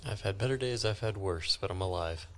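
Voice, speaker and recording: A man's voice: low voice, brisk, fairly bright tone. A man talking casually as a player in a tabletop role-playing game, with noise behind him. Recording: constant clear background noise.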